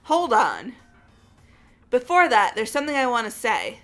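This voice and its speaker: A woman's voice: on the high side, wildly swooping pitch, measured pace, pushed and bright, faint music behind, exaggerated voice. A woman voicing a stubborn, angry, grieving and loyal young woman in a fan-voiced visual novel game. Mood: angry, defiant.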